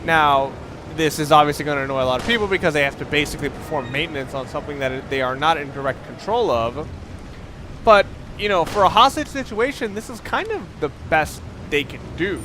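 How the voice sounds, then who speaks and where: Let's play host: young man, slightly high voice, conversational and digressive, faint noise behind him. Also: brisk. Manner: rambling, self-interrupting, conversational. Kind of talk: casual gameplay commentary. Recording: faint background noise, game sounds underneath. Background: video game sound.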